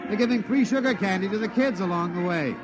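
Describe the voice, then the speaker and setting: A man's voice: high voice, fast talker, close to an everyday voice. An American actor playing an earnest male radio reporter giving live street commentary in a 1950s radio drama, addressing listeners formally. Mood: excited. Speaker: announcer. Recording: noisy old 1950s radio recording.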